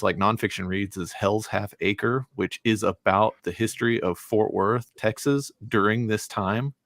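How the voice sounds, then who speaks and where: Low, dry-sounding man talking books. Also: fairly bright tone, no echo. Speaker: book discussion participant. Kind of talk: casual book discussion.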